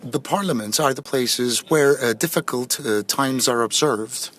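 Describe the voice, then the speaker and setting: A man giving a simultaneous interpretation of a president's answers at a press conference, measured and pausing often with fillers. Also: medium pitch, a bit bright. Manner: measured, with frequent pauses and fillers.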